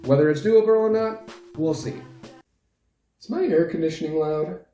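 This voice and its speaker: A man's voice: a little high, normal speed, dark tone, reverberant, dull audio, faint music behind. A man giving a casual, unscripted update as a channel host, talking in a hesitant, rambling, self-deprecating way.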